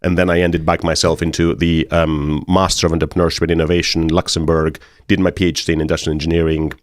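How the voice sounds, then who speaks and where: A man from Greece, a university lecturer chatting as a podcast guest, measured and easygoing. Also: a bass voice, no echo, studio quality. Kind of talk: casual interview chat.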